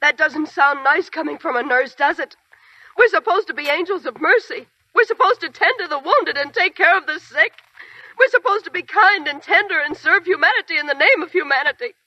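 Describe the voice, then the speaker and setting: A young army nurse hardened by grief, cold and clipped, sharp on every word. Her voice sits very high and bright. It is a dry, muffled old radio broadcast. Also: fairly quick, no echo. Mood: bitter. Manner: cold, clipped and hostile, breaking into sobs. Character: bitter grieving young female army nurse. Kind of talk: 1940s radio acting.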